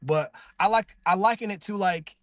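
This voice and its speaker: A man being interviewed about his voice work, gushing in a loose, rambling way full of "like" and restarts. He sits high for a male voice, though he calls it deep himself. The sound is dry and close, with no room in it. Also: moderate pace, fairly bright tone, dry recording. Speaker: voice actor guest. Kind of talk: casual interview chat.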